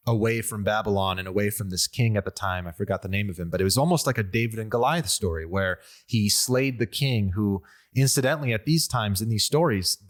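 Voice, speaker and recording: A young man from the United States whose first language was Armenian, hosting a casual conversation with frequent hesitations and restarts. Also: lowish voice. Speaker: podcast host.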